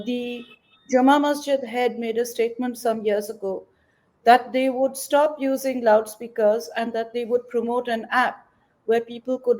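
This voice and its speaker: A middle-aged or older woman answering interview questions as an activist, measured, articulate and explanatory. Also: on the high side, mellow, rough recording. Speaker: environmental activist, interview guest.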